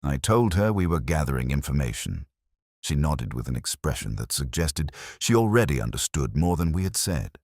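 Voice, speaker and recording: A man reading a scripted first-person detective story in a measured, solemn, literary manner. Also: very deep voice, big pitch swings, no echo, clean studio sound. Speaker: narrator.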